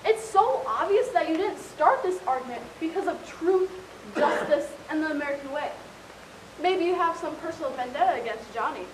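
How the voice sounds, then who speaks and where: A woman's voice: high-pitched, lively intonation, moderate pace. A young woman playing a probing, prosecutor-like questioner in an amateur one-act stage play. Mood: pointed, accusing. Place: indoor stage, recorded from a distance. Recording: echoey stage, distant mic, faint hiss.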